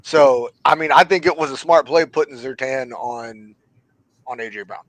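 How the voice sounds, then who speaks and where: A man on a casual sports talk panel who talks quickly and often uses the filler "like". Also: medium pitch, a bit bright, dry recording, poor audio.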